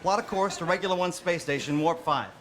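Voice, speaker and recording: Earnest, commanding starship admiral, played by a man, high-pitched, theatrical parody style, live stage. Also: fairly quick, a bit bright. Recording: live stage recording with clear noise.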